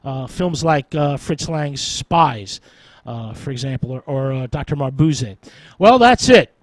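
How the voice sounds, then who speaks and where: A man hosting a radio show, delivering scripted film history commentary in formal academic wording with halting, stumbling delivery. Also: medium pitch, lively intonation, no echo.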